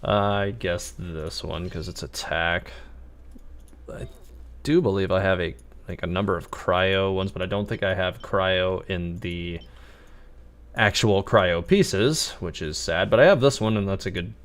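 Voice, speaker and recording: A man's voice: low-pitched, unhurried. A man casually commentating on a live game stream, musing and thinking aloud.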